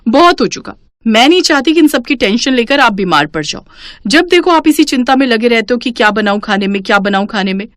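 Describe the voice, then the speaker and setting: A woman's voice: on the high side, expressive, fairly quick, fairly bright tone, dry recording, studio quality. A woman narrator voicing an indignant, protective grown daughter in a family story, firm and emphatic.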